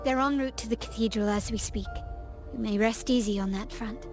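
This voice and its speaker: A woman's voice: fairly high pitch, a little fast, over music, natural voice. A woman voicing a composed fantasy noblewoman in a video game, with a poised, formal way of talking. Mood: poised, cool.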